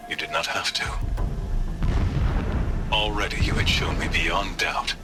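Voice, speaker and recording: An actor playing a proud, pitiless warrior zealot in a video game, delivering a solemn, grandiose monologue in formal archaic phrasing. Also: male voice, low-pitched, fairly even intonation, unhurried, over loud music. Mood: reverent, intimate. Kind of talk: dramatic audio-log monologue in a video game.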